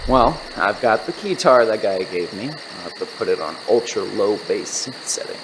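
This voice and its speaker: An actor playing an excitable, naive young band musician sidekick in an audio drama. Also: male voice, fairly low pitch, normal speed. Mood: urgent. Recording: noisy car and outdoor night sounds.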